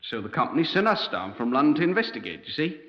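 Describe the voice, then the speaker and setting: Male, mid-pitched, playing a meticulous, deferential business official; roomy, dull old radio recording. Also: big pitch swings, fast talker, lightly acted. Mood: fussy, explanatory. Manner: precise, explanatory, deferential. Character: precise, fussy, deferential oil company man. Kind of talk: 1950s radio crime drama acting.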